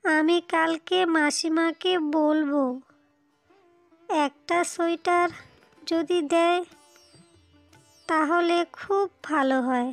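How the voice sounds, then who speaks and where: A woman's voice: extremely high pitch, fairly even intonation, faint music behind, full character voice. A woman playing a poor, humble mother in a cartoon, pleading hesitantly and anxiously for her child. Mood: gentle, resigned. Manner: hesitant, pleading, drawn-out delivery. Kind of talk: slow melodramatic cartoon dialogue.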